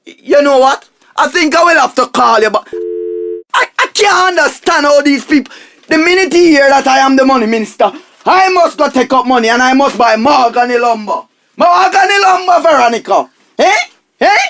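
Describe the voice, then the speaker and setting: A man playing a pompous, penny-pinching, excitable husband and money minister with a Jamaican accent in a comic radio drama, blustering and repeating himself. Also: very high-pitched, a little fast, exaggerated voice. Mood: outraged. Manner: blustering, repetitive, pompous, exclaiming.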